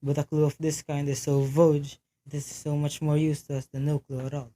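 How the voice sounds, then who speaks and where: A woman's voice: low-pitched, flat delivery, warm tone, lightly acted. A woman playing a dismissive, matter-of-fact police desk sergeant in an amateur radio play. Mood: bored, brusque. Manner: unhurried, placating, matter-of-fact.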